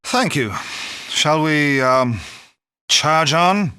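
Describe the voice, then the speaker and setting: An actor playing a suave, wry British secret agent with a dry, teasing manner in a video game. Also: male voice, a little high, expressive, extremely slow, pushed and bright, clear, resonant tone, no echo, exaggerated voice.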